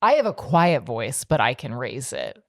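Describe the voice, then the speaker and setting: A woman's voice: very deep voice, wildly swooping pitch, moderate pace, no echo, studio quality. A woman co-hosting a podcast, talking casually and reflectively about relationships, often saying "like". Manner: reflective, therapy-informed, frequent "like". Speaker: podcast co-host.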